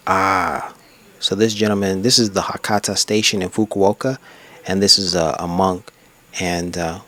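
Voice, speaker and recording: A low-pitched male travel vlogger talks over his own pictures at a desk in a room. His delivery is unscripted and reflective, wandering casually from one memory to the next. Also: fairly bright tone. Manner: rambling, reflective, reminiscing. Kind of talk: casual unscripted slideshow narration.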